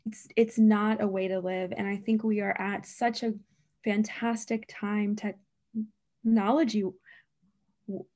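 A woman's voice: mid-range voice, level tone, unhurried, pauses often, mellow. A woman who stutters, a speech-language pathologist answering interview questions in an earnest, emphatic way, with frequent repetitions and blocks.

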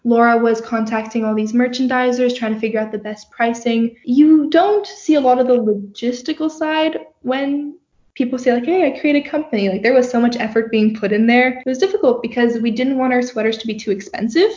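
Chatty teenage girl, bit high. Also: a little fast, a little mellow. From Turkey. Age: teens. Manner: chatty, many "like" fillers. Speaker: guest, young company founder. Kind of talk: casual interview answers. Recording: roomy, dull lo-fi sound.

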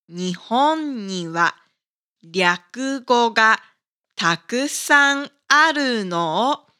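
Female language teacher, higher-pitched and crisp, for a very slow, carefully enunciated scripted lesson. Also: sharp tone. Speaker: language teacher and podcast host.

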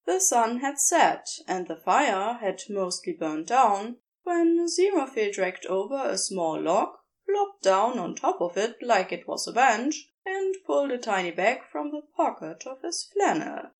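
Female narrator for audio fiction: higher-pitched, expressive, slow and measured. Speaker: narrator and reader. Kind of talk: scripted fan fiction narration.

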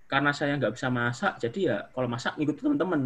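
A young man from Indonesia, studying abroad, chatting casually as an interview guest with a relaxed, rambling manner. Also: medium pitch, fast, slight background noise. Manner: relaxed, chatty, hedging with fillers.

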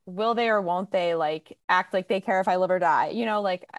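Low-voiced woman hosting a casual podcast. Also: moderate pace, no room sound, clean studio sound. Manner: emphatic, opinionated, peppered with "like". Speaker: podcast host. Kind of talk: casual conversational podcast talk.

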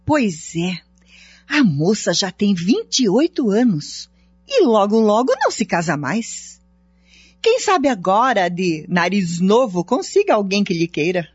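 A gossipy relative acted by a woman chatters in a husky voice with lively swings. The radio play recording is dry and a bit dull. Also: medium pitch, measured pace, no echo. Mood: gossiping. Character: gossipy, pitying female relative. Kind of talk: radio drama acting.

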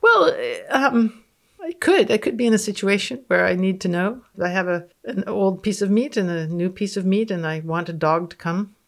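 A woman's voice: medium pitch, animated, moderate pace, creaky. A woman guest on a podcast, reading research aloud and commenting in a wry, matter-of-fact way. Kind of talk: reading research aloud with casual, unscripted reactions.

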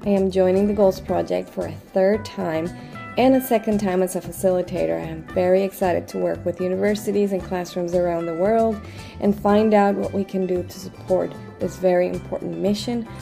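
A woman's voice: fairly low pitch, fairly even intonation, normal speed, creaky, warm tone, soft background music. A woman international educator giving a confident, prepared introduction.

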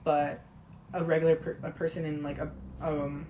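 A woman's voice: deep voice, flat delivery, normal speed, mellow, slight background noise, rough recording. A teenage girl, a native Spanish speaker, chatting earnestly and informally as a podcast co-host, sometimes searching for her words. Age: teens, high school student. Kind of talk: casual chat.

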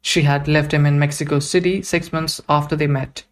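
A man's voice: a little high, steady tone, moderate pace, a little hiss or hum. A man narrating an audio play from a script, with music behind him.

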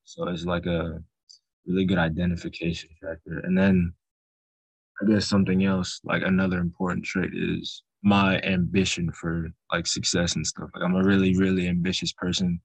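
A teenage boy with a very deep voice talks in a relaxed, rambling way during a casual group chat. His delivery stays low and fairly level, with little rise and fall. The sound is dry, with no room echo. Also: normal speed, gravelly, slightly rough. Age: teens. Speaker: student.

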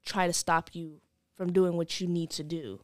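A woman's voice: on the low side, steady tone, moderate pace, no echo, studio quality. A woman in her early twenties talking candidly and informally as an interview guest. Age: early 20s. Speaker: podcast guest, program client. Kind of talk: candid personal conversation.

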